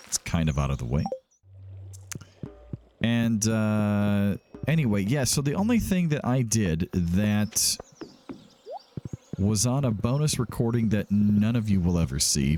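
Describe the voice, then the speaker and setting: A man's voice: fairly low pitch, darkish tone, slight background noise. A man hosting a gaming let's play in a casual, rambling, self-deprecating way, stopping and restarting as he goes. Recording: music behind the voice. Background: background music.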